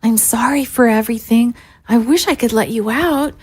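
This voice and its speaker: A woman's voice: a little high, moderate pace, breathy edge. An actress playing a timid, apologetic young female recruit, hesitant and kind, in an audio drama. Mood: earnest. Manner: hesitant, meek.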